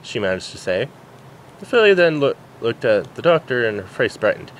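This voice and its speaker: A man reads a story aloud in a medium-pitched, very animated voice. He is an amateur who stumbles on the odd word, recorded with faint background noise. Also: moderate pace, poor audio. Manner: stumbles over words while reading. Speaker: amateur fan fiction reader. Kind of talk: story narration, reading aloud.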